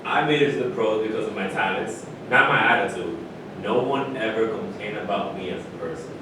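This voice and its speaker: A man plays a boastful, towering retired basketball star in a staged reading. His lowish voice is self-assured, coming from a distance in a very echoey, rumbling room. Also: brisk, noisy background, lightly acted.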